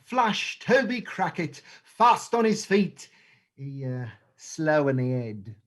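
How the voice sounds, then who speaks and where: Theatrical thieves' gang boss, stage play reading, oily endearments, very lively pitch, unhurried delivery. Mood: oily, grandiose.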